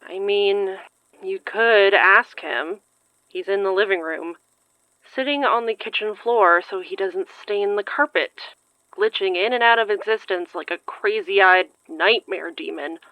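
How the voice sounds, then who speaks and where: An actress playing a worried, sarcastic, sharp-tongued young woman in an audio drama, swearing in exasperation. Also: medium pitch, a little slow, no echo, dull audio, lightly acted. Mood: weary, wry.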